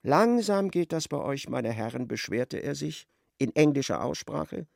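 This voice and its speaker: Literary narrator, expressive and wry, animated intonation, dry close studio sound, radio drama. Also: male voice, fairly high pitch, a little slow, vocal fry, no echo. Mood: wry, narrating. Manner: expressive storytelling.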